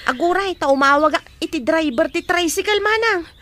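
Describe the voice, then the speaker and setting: A very high-voiced helpful neighbor. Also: female voice, animated, a little fast, a bit bright, a little hiss or hum. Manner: eager, helpful. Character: helpful young neighbor woman.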